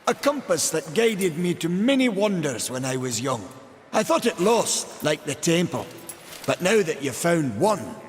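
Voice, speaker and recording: An actor playing an eager, nervous young maker in a video game, in a reverberant recording with faint noise. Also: male voice, fairly high pitch, very animated, unhurried. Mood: pleading, hopeful. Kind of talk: dramatic video game cutscene acting.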